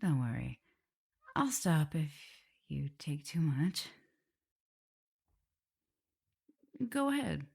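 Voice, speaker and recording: A woman playing an affectionate, teasing vampire mistress with a prim teacherly side, in a soft, intimate, often whispered ASMR roleplay. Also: low voice, very animated, unhurried, vocal fry, husky, exaggerated voice. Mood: caring, coaxing. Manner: tender, playful, hesitant murmuring.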